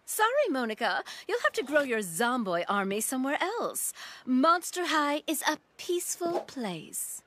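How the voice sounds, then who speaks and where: A woman's voice: high-pitched, very animated, unhurried, fairly bright tone, slight background noise, heavily acted. A woman playing a blunt, assured, loyal teenage monster girl in a cartoon, with a sassy delivery. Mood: confident. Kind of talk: animated cartoon acting.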